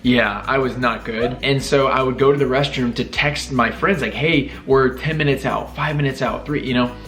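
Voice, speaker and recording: A young man telling a personal story in a casual chat with his wife, animated and self-deprecating, often laughing at himself. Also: mid-range voice, moderate pace, faint music behind. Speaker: vlogger telling proposal story.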